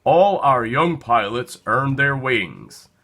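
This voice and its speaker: A man's voice: medium pitch, animated, takes it slowly, sharp tone, exaggerated voice. A man voicing a friendly, welcoming airline pilot in a children's storybook, with a courteous manner.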